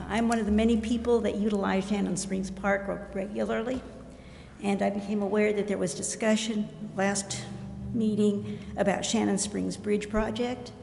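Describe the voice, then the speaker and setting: A woman's voice: medium pitch, vocal fry, darkish tone. An older American woman giving informal, plain-spoken public comment at a meeting in a conversational way. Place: indoor council chamber. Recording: roomy, echoing council chamber with faint noise.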